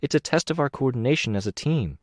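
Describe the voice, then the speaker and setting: Calm earnest young woman, male narrator voicing, raised pitch, dry. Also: fast, no echo, natural voice.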